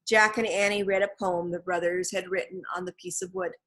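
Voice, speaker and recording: A woman reading a children's storybook aloud as an audiobook narrator, with expressive scripted delivery. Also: lowish voice, fairly quick. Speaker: audiobook reader.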